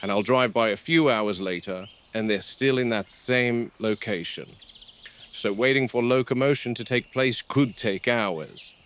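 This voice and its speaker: A man playing a serious, seasoned game warden who narrates a field log in a measured, matter-of-fact way. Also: mid-range voice, fairly bright tone, lightly acted. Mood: calm, observant. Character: serious seasoned male game warden logging work with dinosaurs. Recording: noisy VHS-style field recording.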